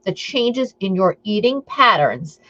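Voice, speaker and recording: A woman's voice: mid-range voice, very animated, slow pace, fairly bright tone, highs cut off. A woman with a Long Island accent hosting a live stream as an eating coach, chatting upbeat and rambling with lots of fillers and asides to her viewers. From Long Island, New York.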